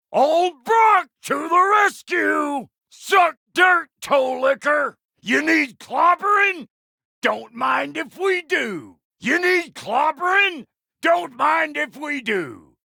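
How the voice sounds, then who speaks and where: A foul-mouthed dwarf blacksmith from a video game, gruff and gravelly with a twang. The voice is forceful and high, swinging widely in pitch. The manner is brash, folksy and combative. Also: male voice, no room sound, clean studio sound, heavily acted. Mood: rowdy taunting. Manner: brash, folksy, cussing, barking warnings and taunts. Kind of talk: video game character voice lines.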